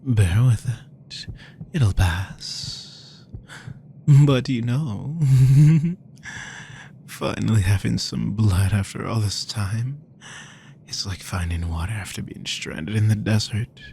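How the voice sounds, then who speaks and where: A man voicing a possessive, powerful male vampire, speaking slowly with a gravelly voice and frequent whispers, menacing and obsessive, close to the mic. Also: lowish voice, mellow, a little hiss or hum. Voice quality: gravelly, slow, often whispering. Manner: menacing, possessive, drawn-out delivery. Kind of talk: roleplay audio drama.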